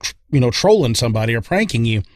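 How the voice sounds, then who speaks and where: A man's voice: mid-range voice, very animated, quick pace, no echo. Rambling male podcaster in a dry room. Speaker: podcast co-host. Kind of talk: casual chat, opinion.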